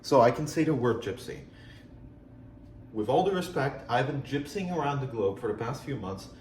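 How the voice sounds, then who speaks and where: A man's voice: mid-range voice, animated, normal speed, a little mellow, a little hiss or hum. A young man with a slight Polish accent, rambling frankly and swearing casually in a video journal. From Poland. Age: young adult. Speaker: vlogger. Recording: echoey room, faint ambience.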